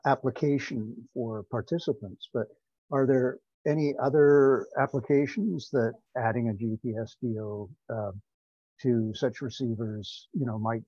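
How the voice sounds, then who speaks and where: Mellow, husky man, halting on a call. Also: medium pitch, no room sound. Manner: halting, many pauses and softeners. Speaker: meeting participant asking a question. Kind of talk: tentative discussion on a group teleconference. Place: remote teleconference. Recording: dull, dry lo-fi conference-call audio.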